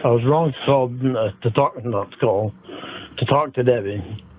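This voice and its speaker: A thin, narrow phone recording carries a man's voice at an ordinary pitch. He speaks haltingly and repeats himself, sounding contrite and apologetic. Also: no echo. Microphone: phone line. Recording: tinny, narrow phone call playback.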